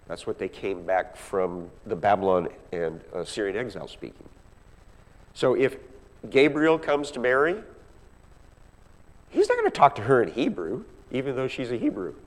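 Animated male Bible teacher in an echoey hall. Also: medium pitch. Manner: emphatic, conversational, often checking in with rhetorical questions. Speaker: Bible class teacher or preacher. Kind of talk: expository Bible teaching with questions to listeners. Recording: echoey hall with long reverb.

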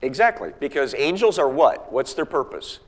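A man's voice: a little high, sing-song, moderate pace. A man teaching from the Bible in an emphatic, conversational way, often putting rhetorical questions to his listeners. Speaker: Bible class teacher or preacher. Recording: echoey hall with long reverb.

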